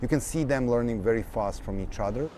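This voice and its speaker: A man talks as an activist trainer, explaining things in an animated, lecturing way. His voice sits at an ordinary middle pitch, with faint noise behind him. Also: brisk. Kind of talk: speech and interview.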